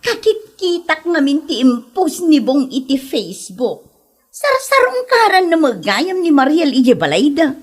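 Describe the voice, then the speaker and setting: A woman's voice: sits high, wildly swooping pitch, unhurried, heavily acted. A woman playing a spiteful, judgmental village gossip in an Ilocano comedy drama, exclaiming indignantly with an Ilocano accent. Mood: scandalized gossiping.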